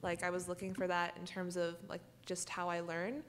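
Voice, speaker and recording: A woman's voice: on the low side, monotone, moderate pace, a little hiss or hum. A young woman student answering on a panel in a lecture hall, casual and peppered with "like". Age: young adult. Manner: casual, uses "like" a lot.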